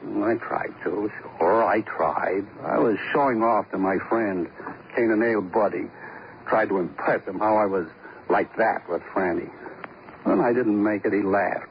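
Low, blustering radio murder suspect. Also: male voice, measured pace, vocal fry. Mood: sheepish. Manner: blustering, slangy, indignant. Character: defensive, self-important married man, crude murder suspect. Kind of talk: 1950s radio crime drama acting. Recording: muffled, faintly noisy old radio recording.

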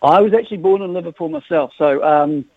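Kiwi-accented man, 50s, slightly high voice, eager and stop-start, phone-line sound. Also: dry recording. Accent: New Zealand. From New Zealand. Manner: enthusiastic, halting with many restarts. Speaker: football supporters club guest. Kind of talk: radio phone interview.

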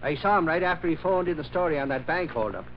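A man acting an excitable, blustery newspaper reporter with slangy talk in an old-time American radio drama. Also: a little high, quick pace, close to an everyday voice. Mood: animated, gossipy. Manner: blustery, exasperated, colloquial. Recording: muffled, hissy old radio recording.

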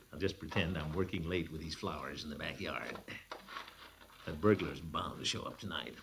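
An actor playing a pompous, blustering middle-aged water commissioner in 1940s radio comedy, with a booming, chuckling laugh. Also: male voice, normal speed, creaky, long pauses, noisy background. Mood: sneaky. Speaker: amateur detective.

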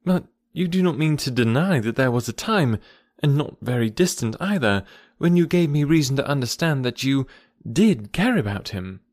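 Man voicing a poised, lilting young gentlewoman. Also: mid-range voice, animated, no room sound, studio quality, pitched above the performer's own voice, lightly acted. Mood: relieved, teasing. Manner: measured, formal, emphatic. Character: poised, articulate young gentlewoman, guarded and earnest. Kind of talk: classic novel audiobook character dialogue.

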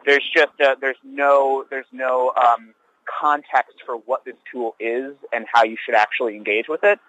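A man's voice: mid-range voice, fairly even intonation, normal speed, a bit bright, no room sound. Man in his 30s, teacher on a phone call, wry, rambling, fillers everywhere, dry dull lo-fi line. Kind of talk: casual phone chat. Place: sheltered spot outdoors.

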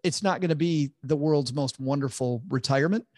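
A man's voice: a little high, fairly quick, a little mellow, dry recording, studio quality. A man, a financial advisor guest on a podcast interview, explaining earnestly with frequent stammered restarts.

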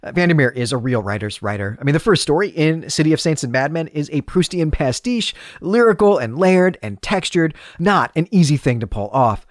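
A man's voice: a little high, lively intonation, a little fast, few pauses, no room sound, clean studio sound. A man hosting a book review podcast, speaking in articulate, literary, carefully phrased commentary. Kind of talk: solo book review commentary, partly scripted.

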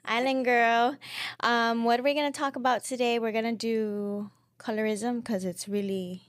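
A woman in her twenties with a mixed Filipino and Pacific islander accent, telling her story as a podcast guest in a lively, chatty way. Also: a little high, unhurried, no room sound, clean studio sound. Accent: Pacific islander and Filipino mix. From Saipan and Guam. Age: 20s. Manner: chatty, animated storytelling with acted-out quotes. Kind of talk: casual interview chat.

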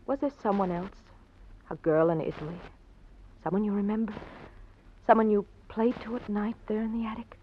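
An actress playing an anxious, suspicious young wife in an old radio melodrama, earnest and insistent. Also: female voice, medium pitch, moderate pace, pauses often, close to an everyday voice. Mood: tender, wistful. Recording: dull, hissy old radio recording.

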